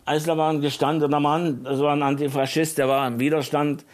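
A man's voice: fairly high pitch, flat delivery, fairly quick. An older man telling anecdotes from memory in an interview, as a former radio editor. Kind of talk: anecdotal recollection.

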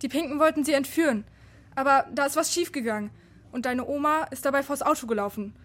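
High-pitched, earnest young-sounding heroine. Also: female voice, fast, fairly bright tone. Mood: urgent, explaining. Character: earnest, urgent female friend bringing secret news. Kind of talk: children's radio play acting.

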